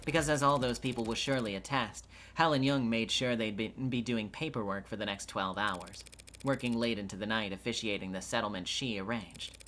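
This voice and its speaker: A man voicing a sharp, sardonic defense counselor in a mystery game, cross-examining in a pointed, accusatory way. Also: on the low side, a little fast, a little hiss or hum, lightly acted. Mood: confident, accusing. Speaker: streamer voice acting a game playthrough. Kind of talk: dramatic live character reading of game dialogue. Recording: music behind.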